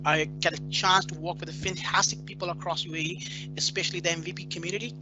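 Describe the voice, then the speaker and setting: A man from Pakistan introducing himself on a video call, friendly and relaxed. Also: high-pitched, quick pace. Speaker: guest. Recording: dull video call audio.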